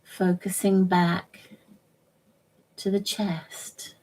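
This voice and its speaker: Very slow, gentle woman guiding meditation. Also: fairly low pitch, flat delivery, poor audio. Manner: gentle, measured, soothing, short phrases with long pauses. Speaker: yoga nidra instructor. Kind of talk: guided meditation, relaxation instruction.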